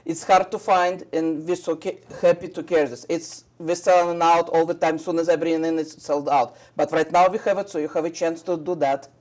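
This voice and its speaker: A woman from Russia with a Russian accent, a shop owner chatting eagerly about her products in halting English with many fillers. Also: low voice, fairly even intonation, a little mellow. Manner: enthusiastic, halting non-native English with fillers. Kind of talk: casual chat.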